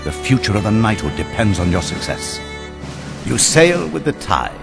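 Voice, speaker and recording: Low, expressive knightly lord. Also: male voice, normal speed, gravelly. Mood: commanding. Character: authoritative, learned elder lord of a knightly order. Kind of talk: video game cutscene acting. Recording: loud music behind the voice.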